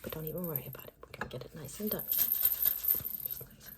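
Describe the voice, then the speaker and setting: A woman's voice: sits low, fairly quick, noisy background. A woman doing an ASMR roleplay as a makeover stylist, murmuring repetitive, reassuring step-by-step instructions in a personal-attention style.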